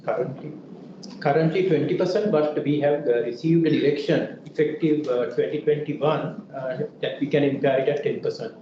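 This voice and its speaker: A man giving a hesitant, measured financial answer as a bank treasury head. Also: on the high side, warm tone. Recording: muffled, echoey, noisy call audio.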